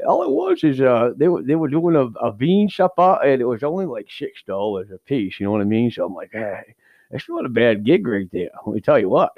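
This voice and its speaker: A man's voice: medium pitch, no room sound, dull audio. A man playing a rambling, cheapskate firefighter who tells crude stories and keeps cracking himself up. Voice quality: crude, giggly, rambling storyteller. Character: rambling, cheapskate middle-aged male firefighter. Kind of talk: improvised character comedy.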